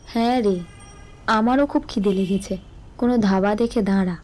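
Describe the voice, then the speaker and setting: A woman's voice: medium pitch, moderate pace, a little mellow, a little hiss or hum, natural voice. A woman playing an older female relative in an audio story. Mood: hungry, plain.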